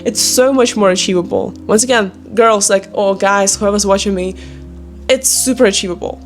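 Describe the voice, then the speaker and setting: A woman's voice: mid-range voice, normal speed. Young Ukrainian-accented woman vlogger over music. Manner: informal, emphatic, repetitive encouragement. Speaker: online video creator and sales closer. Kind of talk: casual motivational chat to camera.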